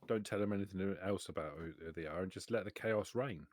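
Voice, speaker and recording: A man's voice: low-pitched, brisk, darkish tone, slight background noise. A man playing a tabletop role-playing game, talking in character at the table in a blunt, dry way.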